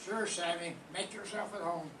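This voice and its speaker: A man's voice: sits high, steady tone, moderate pace, fairly bright tone. An older man voicing a chummy, offhand male morgue attendant in an amateur 1940s-style radio play. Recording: echoey room from far off, noise behind.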